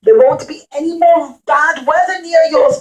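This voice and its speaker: A woman's voice: sits high, normal speed, muffled recording, close to an everyday voice. A woman voicing an earnest, self-sacrificing male prophet in a children's puppet show. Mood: earnest, resigned.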